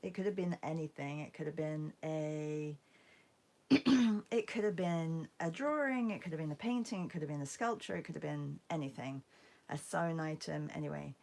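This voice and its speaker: A woman with a hoarse, croaky voice after a concert, chatting casually with viewers as she hosts an art livestream. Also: sits low, darkish tone. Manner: chatty, greets viewers by name. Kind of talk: casual livestream chat while painting.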